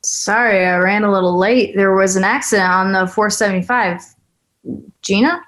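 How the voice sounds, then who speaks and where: A woman's voice: medium pitch, normal speed, a bit bright, natural voice. A woman at a screenplay table read playing a terse, guarded investigator who is unsettled and suspicious. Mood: concerned.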